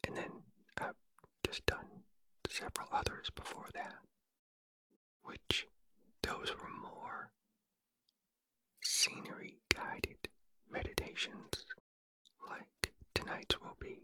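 A man hosting a sleep relaxation podcast in a breathy whisper, speaking in a gentle, soothing ASMR style. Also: slow pace, long pauses, no room sound, low-quality recording. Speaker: podcast host and relaxation guide.

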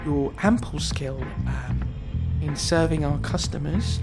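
A man's voice: on the high side, measured pace, natural voice. A man playing a stressed, apologetic middle-aged salon manager in an improvised comic audio drama, hesitant and stammering. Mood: exasperated, polite. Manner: hesitant, stammering, overly polite. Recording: music behind the voice.

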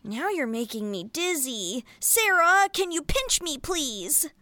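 A woman's voice: high-pitched, big pitch swings, takes it slowly, bright, forceful tone, no room sound, full character voice. A woman playing a bewildered, complaining child in a family audio drama.

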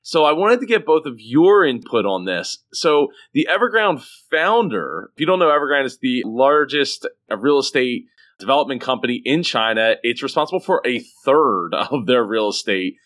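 A man's voice: medium pitch, very animated, moderate pace, fairly bright tone. A man hosting a podcast, talking casually and emphatically about business, often repeating short phrases. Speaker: podcast host. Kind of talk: animated casual business discussion.

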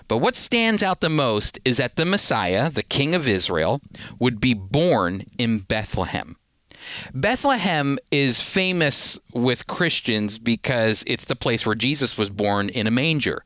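A man's voice: medium pitch, sing-song, a little slow, fairly bright tone, dry recording. A man teaching the Bible on a radio program, earnest and emphatic, often repeating a word as he starts a thought.

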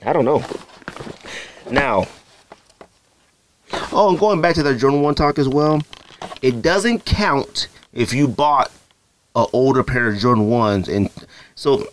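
A man's voice: medium pitch, animated, unhurried, pauses often. A middle-aged man hosting a podcast, casually rambling with lots of ums and you-knows, self-deprecating. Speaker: podcast host. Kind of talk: casual solo sneaker show-and-tell.

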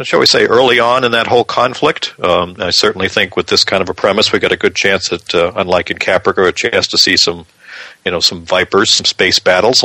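A male podcast co-host with a low, bright voice. He explains news in casual conversation, and the recording is close and dry. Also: no room sound.

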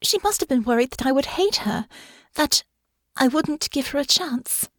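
A woman's voice: high voice, expressive, normal speed, fairly bright tone, no room sound, studio quality, pitched above the performer's own voice. A woman voicing an earnest, well-spoken young woman with formal, polite phrasing and open feeling. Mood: earnest, rueful.

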